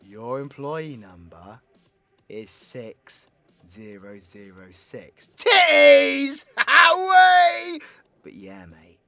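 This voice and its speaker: Guy doing a young british supervillain for audio roleplay, cocky n sweary, teasing but fond, takes his time, pitch all over the place. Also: no echo. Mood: teasing, tender. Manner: theatrical, swearing, swinging from hushed confiding to loud outbursts. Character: cocky, foul-mouthed young male supervillain, teasing and affectionate. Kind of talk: intimate audio roleplay monologue.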